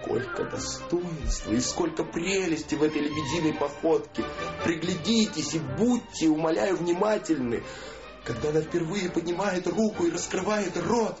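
High-voiced declamatory husband, acted by a man. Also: lo-fi sound, lightly acted. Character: scornful yet besotted husband of singer. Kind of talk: radio play monologue.

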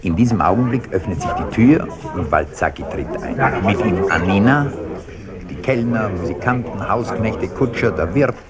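A man's voice: on the low side, lively intonation, moderate pace, over a noisy crowd. A man narrating a scripted radio drama, reading stage action in the present tense.

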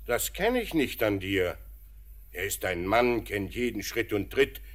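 Slow-spoken, patient father. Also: fairly low pitch, very animated. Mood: gently reassuring. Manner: measured, theatrical, patient. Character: calm, gently teasing older miner father. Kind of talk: 1940s German radio drama acting.

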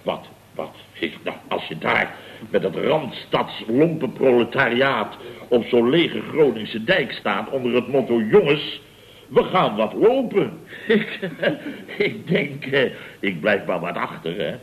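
Pompous, animated contractor boss. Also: male voice, medium pitch, slow. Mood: indignant, boastful. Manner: pompous, rambling, chuckling raconteur with exclamations. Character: blustering, self-important building contractor boss, comically indignant. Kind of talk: 1960s-70s Dutch radio comedy acting. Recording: dull vintage radio recording.

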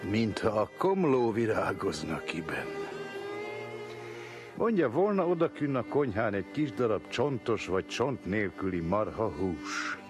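An actor playing a fussy, food-loving gentleman diner who orders in a savoring, particular, old-fashioned way in a dramatized literary reading. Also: fairly low pitch, sing-song, music in the background.

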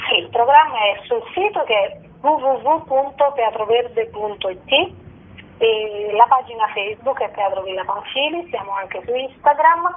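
A woman with a husky voice speaking informally as a theatre guest in a radio interview over a phone line. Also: on the high side, animated, moderate pace. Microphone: telephone. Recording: narrow tinny phone line.

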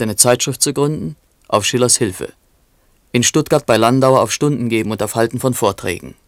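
Guy playing dry chronicler narrator for a literary radio play, medium pitch, terse, bit ironic, no room sound. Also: no room sound, close to an everyday voice. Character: dry, knowing male chronicler of a poet's life.

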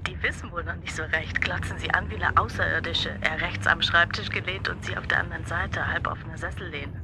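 High-voiced junior detective, played by a man. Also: steady tone, brisk, natural voice. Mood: watchful, wry. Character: observant junior male detective reporting to his chief. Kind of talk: naturalistic German radio drama acting. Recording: street and vehicle noise behind.

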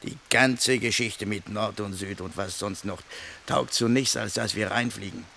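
Bright-voiced, halting grumbling soldier. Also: male voice, fairly low pitch, gravelly, exaggerated voice. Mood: sullen, giving up. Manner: halting, stammering, repeating words. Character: weary grumbling male fugitive soldier, hesitant, needling. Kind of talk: radio play acting. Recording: noisy radio play scene, rain falling.

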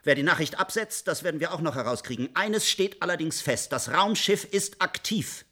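Male mission chief, sci-fi radio play: husky, raised pitch, animated, blunt authority, sceptical. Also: moderate pace. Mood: stern, dismissive. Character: commanding, sceptical male leader of a space project. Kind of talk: German radio play acting.

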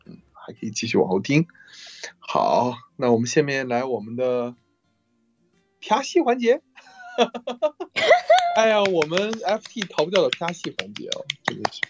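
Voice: medium pitch, wildly swooping pitch, a little slow, pauses often, muffled recording, soft background music. A playful voice actor from Qingdao, China, chatting casually with castmates and laughing often. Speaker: voice actor in an audio drama. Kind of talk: casual group chat at an audio drama cast event.

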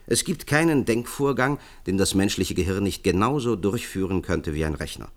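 An earnest expert in a science-fiction radio play. His voice is very low, and he speaks formally, lecturing and persuading as if laying out a grand project. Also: expressive, moderate pace. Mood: explaining. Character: earnest male science expert pitching a visionary project to leaders. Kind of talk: German science-fiction radio drama acting.

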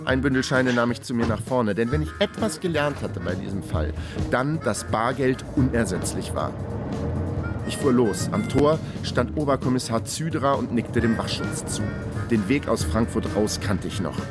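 Actor as deadpan male investigator telling his case, wry and laconic, radio crime drama, music under him. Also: medium pitch, moderate pace, close to an everyday voice. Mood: laconic, matter-of-fact. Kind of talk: German radio crime drama, first-person narration. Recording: music behind the voice.

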